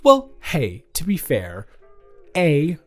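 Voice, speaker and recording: A man's voice: on the high side, slow, full character voice. Chatty young college guy, acted by a man, music behind. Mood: excited, friendly. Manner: rambling, animated, hesitant casual speech. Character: friendly, awkward, chatty young male college student, smitten dormmate. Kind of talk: roleplay audio acting, casual conversational monologue to listener.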